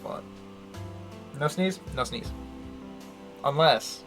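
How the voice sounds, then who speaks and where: A man's voice: fairly high pitch, extremely slow, fairly bright tone. A man giving casual, joking live commentary as a game streamer, with a wry manner. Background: faint game music.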